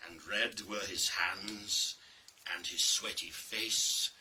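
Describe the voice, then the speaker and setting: A man delivers a scripted dark tale with theatrical deliberation. His voice is very bright and forceful, and he takes his time over each phrase with marked pauses. He sounds set well back from the microphone. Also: low-pitched, steady tone. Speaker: reader of a dramatic monologue. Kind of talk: scripted dramatic storytelling, digital play reading. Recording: distant microphone, faint background noise.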